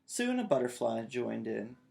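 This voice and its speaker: A man's voice: mid-range voice, sing-song, measured pace, vocal fry, darkish tone. A man in his thirties narrating a children's storybook aloud. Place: small child's bedroom, indoors.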